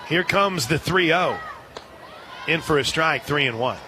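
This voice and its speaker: A man doing live radio play-by-play of a softball game, slipping conversational asides in between pitch calls. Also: lively intonation, measured pace. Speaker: play-by-play announcer. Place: outdoor softball stadium broadcast booth. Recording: loud crowd and outdoor stadium noise. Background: crowd noise.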